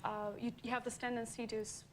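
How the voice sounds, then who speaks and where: A woman whose first language is Italian, a singer being interviewed on a talk show, expressive and giggly. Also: on the high side, fast talker, background noise.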